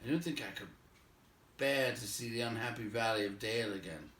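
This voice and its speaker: A man's voice: fairly low pitch, brisk, fairly bright tone, slight background noise, natural voice. A man voicing a small, put-upon hobbit from a storybook, anxious and grumbling in a plaintive, exclaiming way. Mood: anxious, dismayed. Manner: plaintive, exclaiming, self-pitying. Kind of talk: storybook character voice. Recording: roomy echo, distant microphone.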